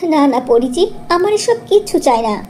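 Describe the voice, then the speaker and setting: High-voiced humble village girl acted by a woman. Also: lively intonation, normal speed, darkish tone, slight background noise. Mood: pleading.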